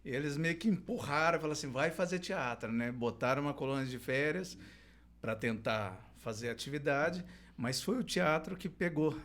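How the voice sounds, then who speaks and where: A man's voice: a little high, moderate pace, a bit bright. A middle-aged man from Londrina in Brazil, a clown and theater teacher, telling his life story as a podcast guest with frequent hesitations and restarts. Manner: hesitant, many restarts and fillers, laughs easily. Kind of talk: casual storytelling interview.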